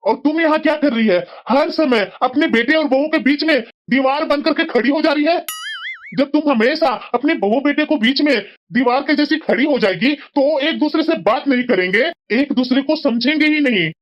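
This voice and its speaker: A woman's voice: sits high, normal speed, muffled recording, close to an everyday voice. A woman voicing a sensible middle-aged husband in a family moral-story cartoon, scolding and lecturing reproachfully. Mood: admonishing.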